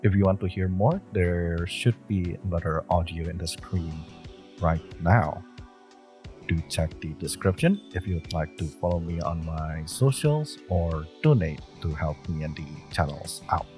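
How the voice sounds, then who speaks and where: Low-voiced man closing his channel. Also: measured pace, darkish tone, faint music behind. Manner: friendly, direct address to listeners. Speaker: audio roleplay creator. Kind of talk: channel outro.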